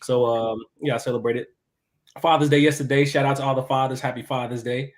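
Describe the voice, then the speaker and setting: A man, the guest on a podcast. He talks in a relaxed, chatty way with easy laughs, and his voice sits at an ordinary middle pitch. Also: a little fast. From Chicago. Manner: laid-back, talkative, easy laughs. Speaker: guest chef. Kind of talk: casual podcast interview.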